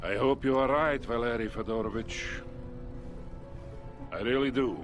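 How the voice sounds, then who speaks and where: A man's voice: mid-range voice, a little slow, music in the background, lightly acted. Weary, doubtful Soviet colonel, played by a man, dry wit, game cutscene. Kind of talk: video game cutscene acting.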